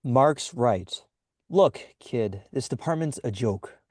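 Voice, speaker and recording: A man's voice: medium pitch, animated, slow, a little mellow, slightly rough, no room sound, studio quality. A man playing a dismissive, authoritative department boss, blunt and condescending, in naturalistic drama dialogue. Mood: dismissive, stern.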